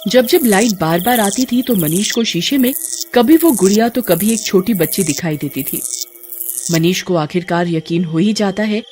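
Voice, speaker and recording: A woman's voice: medium pitch, normal speed, darkish tone, soft background music. A woman storyteller delivering scripted horror story narration.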